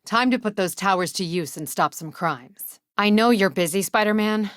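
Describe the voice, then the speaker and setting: A woman's voice: medium pitch, moderate pace, no room sound, natural voice. A woman voicing a tough, no-nonsense female police captain in a video game, speaking in a terse, authoritative, dry manner. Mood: dry, businesslike.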